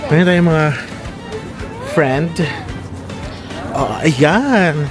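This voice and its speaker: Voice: mid-range voice, lively intonation, very slow, over music. A Filipino vlogger chatting casually and giggling while interviewing people at an outdoor tourist spot. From Philippines. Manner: giggly, chatty, switches between Tagalog and English. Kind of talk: casual travel vlog with street interviews. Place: busy outdoor tourist site.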